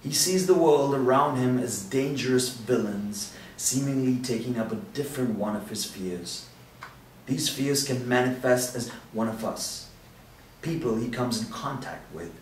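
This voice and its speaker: A man reading the part of a reserved male doctor at a table read, explaining his case in a steady way. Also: mid-range voice, unhurried, close to an everyday voice. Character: reserved male doctor who mixes his own drugs. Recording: roomy echo, distant mic, faint hiss.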